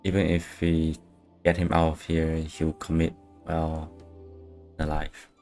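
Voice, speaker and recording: A man's voice: very deep voice, monotone, slow pace, a little mellow. A man giving casual livestream commentary as he plays a game. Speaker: game streamer. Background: faint game music.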